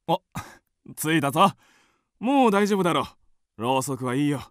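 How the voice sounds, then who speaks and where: A man's voice: fairly high pitch, very animated, moderate pace, dry recording, clean studio sound. An actor playing an easygoing, flippant husband and father in a radio drama. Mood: puzzled, casual. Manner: flippant, casual.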